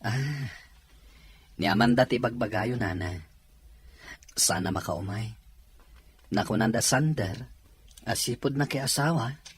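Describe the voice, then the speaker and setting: An actor playing a polite, easygoing young son in an audio drama, with a raspy voice. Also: male voice, medium pitch, a little slow, pauses often, natural voice. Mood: agreeable. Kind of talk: Ilocano audio drama acting.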